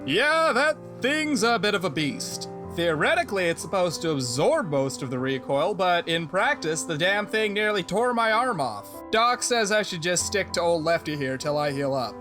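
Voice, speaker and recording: A man's voice: sits high, lively intonation, normal speed, hardly any pauses, music in the background. A man playing a cocky, plain-spoken soldier in a sci-fi audio drama, casual and wry. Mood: blunt, candid.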